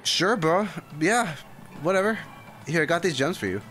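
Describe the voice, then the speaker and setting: A man's voice: a little high, animated, moderate pace, bright, forceful tone, soft background music. A young man streaming, giving chatty, slangy, casual reactions to a game.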